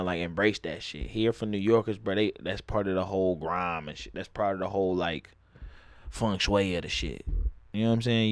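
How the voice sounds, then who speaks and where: Young man, Atlanta southern accent, low voice, dry close mic, loose profane storytelling, frequent laughs. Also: no echo. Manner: loose, profane, laughs often, drawls words out. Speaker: vlogger. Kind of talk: casual storytelling monologue.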